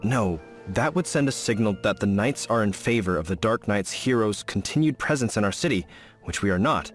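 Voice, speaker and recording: An earnest knight officer lays out his plans in a formal, dutiful way, voiced by a man in a lowish voice. Soft game music plays behind him. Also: expressive, moderate pace, natural voice. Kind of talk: video game voice acting.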